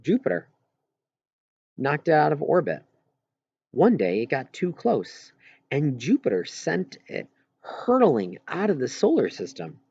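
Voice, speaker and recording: A man reading an audiobook, voicing an earnest boy who eagerly explains things and has a quietly wistful streak. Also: fairly high pitch, huge pitch swings, measured pace, vocal fry, long pauses, slightly rough, dry recording, dull audio, pitched above the performer's own voice, exaggerated voice. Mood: eager, explaining. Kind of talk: audiobook character dialogue.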